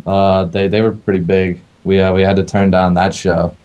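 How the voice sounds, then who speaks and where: A man from a band chatting casually as an interview guest, halting and hesitant. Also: low voice, little pitch movement, darkish tone, smooth, lo-fi sound. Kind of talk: casual chat.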